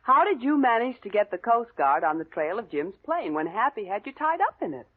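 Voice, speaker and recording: A woman's voice: fairly high pitch, big pitch swings, a little fast. An actress playing a detective's curious, sympathetic female companion, playful, in 1940s radio drama style on a tinny old recording.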